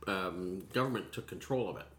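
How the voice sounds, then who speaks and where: A man's voice: low voice, fairly quick, a crowd in the background. A man, a podcast co-host making wry, casual tasting commentary. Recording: faint background noise.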